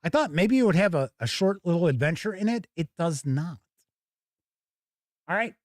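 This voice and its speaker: A man's voice: high voice, animated, normal speed, no room sound, clean studio sound. A man hosting a tabletop game review stream, chatting casually and thinking aloud as he comments on an unboxing.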